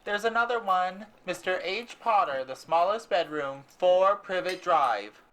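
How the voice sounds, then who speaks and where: A bawling, spoiled young boy from a storybook audio drama, acted out by a man. The voice is pitched up, whiny and demanding, pushed into insistent shouts. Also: animated, a little slow, exaggerated voice, low-quality recording. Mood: shouting.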